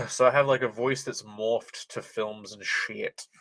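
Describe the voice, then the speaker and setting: A man's voice: mid-range voice, fairly even intonation, takes it slowly, gravelly, fairly bright tone, low-quality recording. A young man from Britain with a light British, transatlantic-tinged accent, chatting casually on a livestream, reading chat aloud, swearing freely and laughing often.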